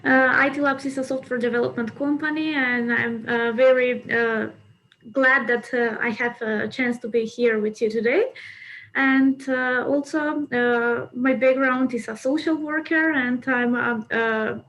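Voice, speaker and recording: A woman's voice: fairly high pitch, steady tone, unhurried, a bit bright, poor audio. A woman speaking as a panelist on a video call, hesitant with many filler sounds. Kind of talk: online panel introduction.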